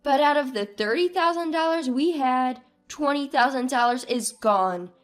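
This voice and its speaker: A woman's voice: high-pitched, moderate pace, soft background music, natural voice. A woman voicing an indignant, exasperated wife in a narrated family drama, arguing in emphatic questions. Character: indignant, exasperated young wife standing up for herself. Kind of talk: acted dialogue in a narrated family drama story.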